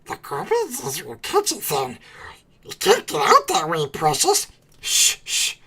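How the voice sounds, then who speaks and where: A woman's voice: high-pitched, huge pitch swings, takes it slowly, a bit bright, pitched above the performer's own voice, full character voice. A woman voicing a wretched, treacherous male cave creature who hisses, whispers and talks to himself in an audiobook. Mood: brooding. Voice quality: hissing, breathy whisper. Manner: talks to himself, repeats words, swings between whimper and shriek. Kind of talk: audiobook character voice.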